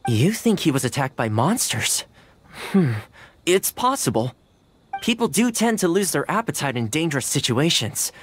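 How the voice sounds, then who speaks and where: A man's voice: on the high side, sing-song, moderate pace, slightly rough. An actor playing a friendly, caring, patient young man in a video game, with a casual, coaxing manner. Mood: thoughtful. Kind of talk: video game character dialogue. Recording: music under the voice.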